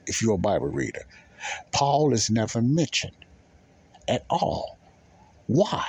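A man's voice: mid-range voice, darkish tone, husky. A man giving informal, emphatic Bible teaching as a podcast host, repeating key phrases and posing rhetorical questions.

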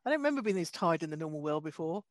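Chatty woman comedian, quick laughs. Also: low-pitched, sing-song, dry recording, studio quality. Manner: chatty, laughs easily, stumbling restarts. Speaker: comedian and co-host. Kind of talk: casual chat and guest introductions.

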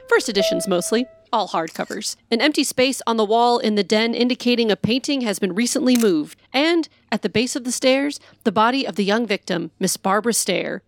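A woman's voice: fairly high pitch, slight background noise, natural voice. A woman playing an earnest, literal-minded crime scene technician in a comic noir radio play, matter-of-fact and over-detailed.

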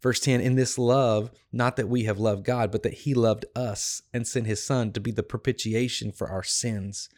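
A slightly hoarse, tired man hosting a devotional podcast, teaching a Bible passage in an earnest, encouraging way with emphatic repetition. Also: medium pitch, fairly even intonation, moderate pace, few pauses, a little mellow. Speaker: podcast host.

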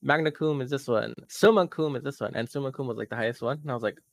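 A man's voice: medium pitch, vocal fry, no echo. A man telling a story in casual chat on a podcast, acting out the voices he quotes. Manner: fast, animated, acts out quoted voices. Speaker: podcast co-host.

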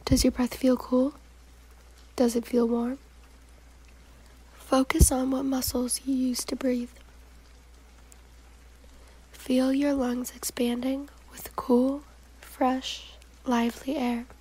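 A woman narrates a guided meditation in a soft, breathy voice that turns whispery. Her delivery is very even and mellow, with little rise or fall. She gives short, gentle instructions at a calm, measured pace. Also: high-pitched, poor audio.

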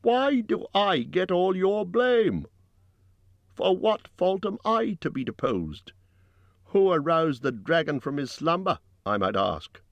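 A man's voice: medium pitch, lively intonation, unhurried, no echo, voice pushed up. A cunning old town master, played by a male narrator, speechifying in a raised voice. He is pompous and indignant, a self-serving orator from a fantasy storybook, recorded close and dry. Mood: indignant, pleading.

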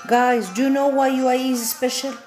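A woman's voice: high-pitched, steady tone, fairly quick, a little mellow. A woman hosting a show, enthusiastically addressing her viewers. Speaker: show host. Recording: echoey room with faint music.

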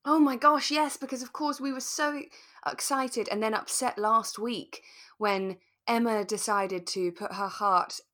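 A British woman co-hosting a relaxed chat about radio drama, expressive and enthusiastic in her delivery. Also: fairly high pitch, a little slow. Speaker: podcast co-host and actor.

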